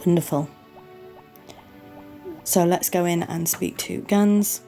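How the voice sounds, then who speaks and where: A woman's voice: lowish voice, steady tone, slow pace, gravelly, mellow, soft background music. A woman with a cold, sniffly and losing her voice, chatting her way through a farming game playthrough and apologising along the way. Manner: apologetic, chatty, talks the viewer through each step. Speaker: gaming channel host. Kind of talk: relaxed let's-play commentary.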